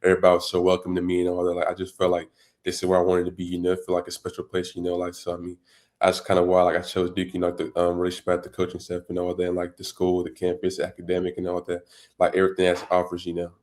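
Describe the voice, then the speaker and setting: A teenage boy, a high school football recruit born in Congo, answering interview questions in a casual, rambling way full of "you know" and "and all that". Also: completely flat delivery, fast, a little mellow. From Democratic Republic of the Congo. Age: teens.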